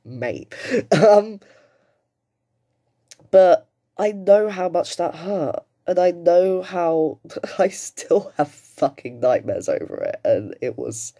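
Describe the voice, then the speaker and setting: A man's voice: high-pitched, expressive, takes it slowly, dark tone. A British man in his late twenties giving casual, emphatic, sweary reaction commentary. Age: late 20s. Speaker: commentator reacting to another person's video.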